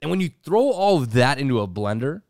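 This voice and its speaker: A man in his thirties co-hosting a casual podcast, talking in an animated way and acting out quoted voices. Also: mid-range voice, fairly quick, no echo, clean studio sound. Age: 30s. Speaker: podcast co-host.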